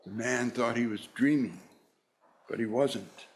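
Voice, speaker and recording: A man playing an excitable, wistful old miser in a theatrical radio play, exclaiming and stammering when moved. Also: mid-range voice, unhurried, lightly acted. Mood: wistful, stirred.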